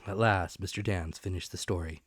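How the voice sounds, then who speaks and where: Low voiced guy doing dramatic audiobook narration, old adventure tale, really dry close recording. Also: steady tone, a little fast, slightly rough, dry recording. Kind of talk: dramatic scripted narration of classic adventure novel.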